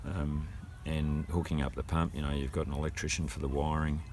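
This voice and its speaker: A man's voice: extremely low pitch, flat delivery, a little fast, darkish tone, slight background noise. An older man with an Australian accent, explaining things casually and hesitantly as a homeowner, thinking aloud as he goes. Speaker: homeowner and gardener showing his water tanks.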